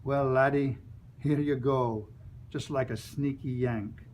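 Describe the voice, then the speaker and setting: A man's voice: mid-range voice, fairly even intonation, takes it slowly, a little mellow, slight background noise, lightly acted. A man voicing a stern, glum schoolmaster. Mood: glum, begrudging. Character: stern, glum Scottish schoolmaster addressing young lads.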